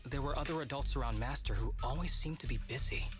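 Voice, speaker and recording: An actor voicing a guarded young male archer in a video game, speaking in a subdued, measured way over music. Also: mid-range voice, close to an everyday voice. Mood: subdued, wistful. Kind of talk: English video game voice acting.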